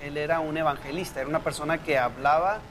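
A man's voice: fairly high pitch, fairly even intonation, fast, a little hiss or hum. A man from South Texas, a church music director, chatting and telling stories. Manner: warm storytelling, with frequent restarts. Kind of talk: casual interview chat.

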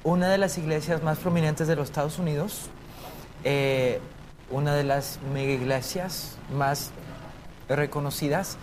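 Playful Mexican TV host. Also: male voice, on the high side, steady tone, unhurried, background noise. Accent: Mexican Spanish. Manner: playful, joking. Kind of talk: casual interview chat.

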